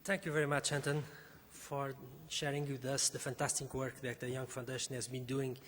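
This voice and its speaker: Guy moderating a conference panel, flat formal intros, echoey room. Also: medium pitch, normal speed, slight background noise. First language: Portuguese.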